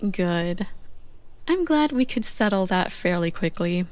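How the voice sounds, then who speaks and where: A woman playing a playful, bossy young girlfriend on a slow morning in an intimate, conversational ASMR roleplay. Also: lowish voice, lively intonation, a little mellow, dry recording. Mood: smug, teasing.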